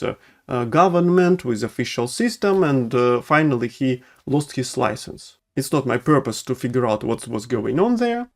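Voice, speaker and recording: Non-native English-speaking male doctor, halting explainer with many "uh"s, mid-range voice. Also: medium pitch, darkish tone. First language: not English. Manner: hesitant, many fillers and restarts. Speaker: oncologist. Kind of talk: educational medical explainer.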